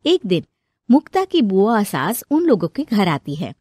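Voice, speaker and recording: A woman's voice: mid-range voice, very animated, a little slow, darkish tone, breathy edge, dry recording, clean studio sound. A woman narrator reading a scripted moral family story in storytelling style.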